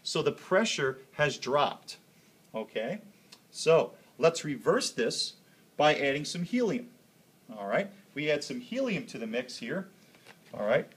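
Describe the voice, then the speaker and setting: A man's voice: on the high side, big pitch swings, unhurried, breathy edge. A man speaking as a chemistry teacher, explaining a hands-on demonstration step by step and checking in as he goes.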